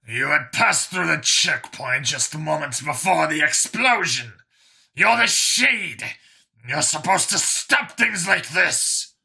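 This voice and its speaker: A man's voice: fairly high pitch, animated, slow, gravelly, bright, forceful tone, husky, voice pushed up, exaggerated voice. A man voicing an indignant, accusing male alien council chairman in a science fiction audiobook. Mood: angry, accusing. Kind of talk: audiobook character acting.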